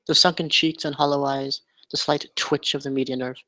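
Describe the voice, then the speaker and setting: A man acting an educated, melancholy doctor in a script table read, speaking in a formal, measured, articulate way. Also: on the high side, flat delivery, pushed and bright, dry recording. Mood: knowing, measured. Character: educated melancholy male doctor, dreamy captive scientist.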